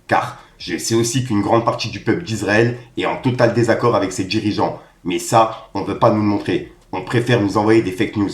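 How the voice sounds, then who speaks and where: A man's voice: lowish voice, fairly even intonation, moderate pace, hardly any pauses, roomy sound. A man hosting a casual rap news roundup, reading posts aloud in street slang.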